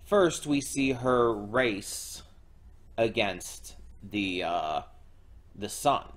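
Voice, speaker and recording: A young man casually recapping a show episode as a reaction host, halting with many fillers and restarts. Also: lowish voice, expressive.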